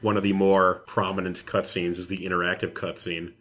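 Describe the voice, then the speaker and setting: A man co-hosting a casual podcast about video games, explaining things in a halting way. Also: low-pitched, flat delivery, a bit bright. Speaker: podcast co-host.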